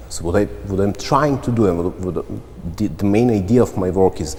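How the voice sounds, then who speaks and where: A man from central Serbia, an artist answering interview questions in a reflective, halting way with frequent restarts. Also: deep voice, a little mellow. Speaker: visual artist. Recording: long reverb, faint background noise.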